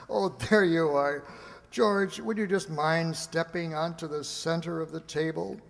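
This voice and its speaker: An actor playing a persuasive laboratory security chief, deliberate and emphatic, in a radio play. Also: male voice, fairly high pitch, fairly even intonation, vocal fry. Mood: formal, earnest. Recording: echoey hall with long reverb.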